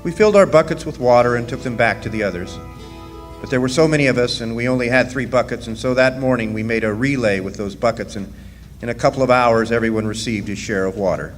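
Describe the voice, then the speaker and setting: Radio drama narrator, male, played by an actor, mid-pitched, reflective first-person storytelling, live in a reverberant hall. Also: fast, soft background music, close to an everyday voice. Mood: earnest, measured. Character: man recalling his part in a miners' deportation. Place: live performance in a large hall. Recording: echoey hall, background noise.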